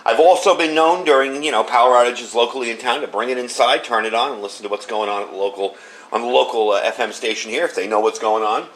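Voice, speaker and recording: A man's voice: mid-range voice, fast talker, fairly bright tone, a little hiss or hum. A man giving a casual product review in a conversational, unscripted, matter-of-fact way.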